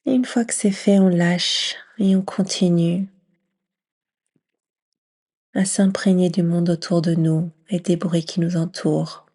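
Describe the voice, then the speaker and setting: A woman leading a guided yoga nidra meditation in a gentle, soothing near-whisper with long pauses. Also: fairly low pitch, fairly even intonation, husky.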